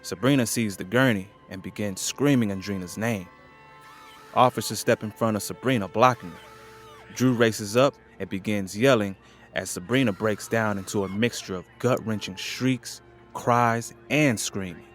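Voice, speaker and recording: Soft guitar music plays behind a man with a fairly low voice. He narrates an audio drama from a script. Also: moderate pace.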